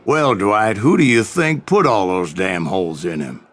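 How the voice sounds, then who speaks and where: An actor playing a gruff old gunslinger in a video game, telling tall tales in a salty, folksy American Western drawl. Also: male voice, lowish voice, lively intonation, unhurried, fairly bright tone, a little hiss or hum. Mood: wry storytelling. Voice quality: gravelly.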